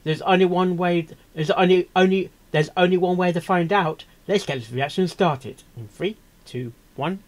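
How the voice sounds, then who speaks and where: A man's voice: high-pitched, normal speed, few pauses. A man giving casual reaction commentary as host, musing aloud in questions and repeating his phrases.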